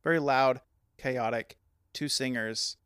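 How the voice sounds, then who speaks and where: A young adult man co-hosting a podcast, joking around with quick comebacks and telling stories in a casual, animated way. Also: mid-range voice, clear, resonant tone, dry recording, clean studio sound. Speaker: podcast co-host. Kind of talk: casual chat.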